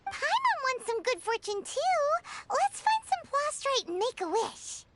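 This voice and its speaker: A woman voicing a small, eager fairy companion girl in a video game, with a squeaky childlike voice. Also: very animated, a little slow, background noise, heavily acted. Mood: eager, cheerful. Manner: speaks of self in third person, eager. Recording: game audio under loud music.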